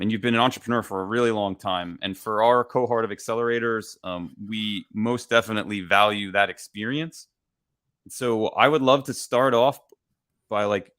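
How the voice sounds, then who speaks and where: A man's voice: on the low side, a bit bright, no room sound, clean studio sound. A man hosting a podcast, asking casual interview questions in a friendly way over a video call. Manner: friendly, many fillers. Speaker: podcast host.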